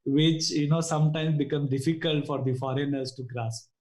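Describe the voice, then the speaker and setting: A man's voice: on the high side, steady tone, normal speed, darkish tone, roomy sound. A man with an Indian accent, a native Konkani speaker, answering interview questions in a hesitant way with many fillers. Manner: hesitant, frequent "you know" fillers. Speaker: guest dentist.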